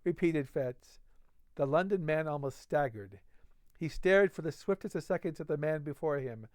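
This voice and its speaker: Clear, higher-voiced man hosting book readings. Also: animated, moderate pace, darkish tone, dry recording. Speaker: host of a book-reading series. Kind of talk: casual introduction and storybook narration.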